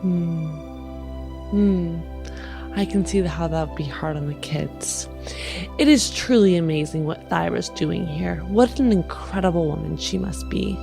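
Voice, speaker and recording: A gushing, pushy wife hoping to adopt acted out by a woman in a tabletop game. Her voice is a little low, sugary and insistent, over faint music. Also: unhurried, creaky. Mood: admiring, probing.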